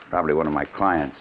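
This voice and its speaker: An actor playing a nervous, excitable businessman who stammers in fear for his life, in an old-time radio suspense drama. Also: a bass voice, slight background noise. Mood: curious. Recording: tinny, muffled old radio broadcast.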